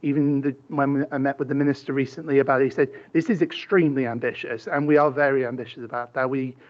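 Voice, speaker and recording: A man's voice: mid-range voice, steady tone, fast talker. A man, a councillor answering a question at a committee meeting, hesitant with many restarts. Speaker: cabinet member, councillor. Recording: dull lo-fi meeting audio.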